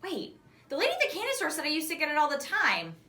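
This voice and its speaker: Woman as young storybook girl, earnest, matter-of-fact, bright voice, roomy sound. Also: high voice, huge pitch swings, fast talker, voice pushed up, exaggerated voice. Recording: echoey room, distant microphone.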